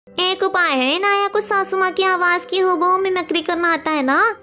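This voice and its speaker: Lady doing a clever determined young bahu, cartoon drama, super high pitched. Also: steady tone, moderate pace, bright, forceful tone, soft background music. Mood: plotting. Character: resolute clever young daughter-in-law, female.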